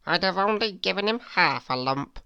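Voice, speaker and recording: A woman's voice: fairly low pitch, expressive, moderate pace, vocal fry, pushed and bright, clean tone, dry recording, exaggerated voice. A woman voicing a stingy male storybook creature with a harsh, grumbling, complaining delivery.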